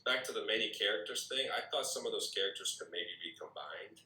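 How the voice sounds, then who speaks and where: Man, deep but very bright voice, hesitant and stammering, informal feedback, recorded in an echoey room. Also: lively intonation, fast, faint music behind. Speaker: script reader giving feedback. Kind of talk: casual critique discussion. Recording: roomy echo, distant from mic.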